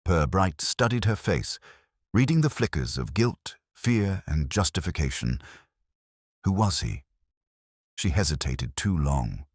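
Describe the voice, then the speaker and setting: Male narrator, very deep pitch, lively intonation, scripted detective story, dramatic reading. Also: measured pace, dry recording, clean studio sound.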